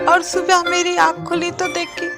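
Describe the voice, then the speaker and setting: A woman's voice: very high voice, monotone, unhurried, gravelly, loud music behind the voice, pitched above the performer's own voice, exaggerated voice. A woman voicing a distraught, plaintive mother goat in a children's cartoon. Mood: distressed. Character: distraught mother goat searching for her kid.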